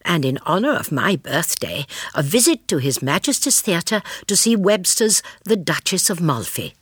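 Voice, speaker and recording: An actress playing a kindly, shrewd elderly English spinster, telling her story in a radio drama with a husky voice. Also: lowish voice, big pitch swings, moderate pace, dry recording, clean studio sound. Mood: measured storytelling. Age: older. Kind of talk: radio drama narration and dialogue.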